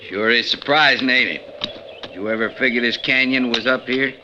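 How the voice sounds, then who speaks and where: An actor playing a brash, boastful, ruthless gold robber in an old-time radio western, with a talkative, folksy, blunt and menacing way of speaking. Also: male voice, medium pitch, steady tone, normal speed, close to an everyday voice. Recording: lo-fi 1950s radio recording, faint noise.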